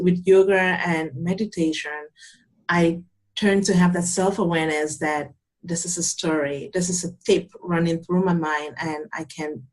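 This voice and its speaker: A young adult woman from Cameroon, a yoga teacher calmly and earnestly explaining her practice in an interview. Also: fairly low pitch. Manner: calm, earnest, explanatory with frequent restarts. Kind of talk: interview answers, conversational.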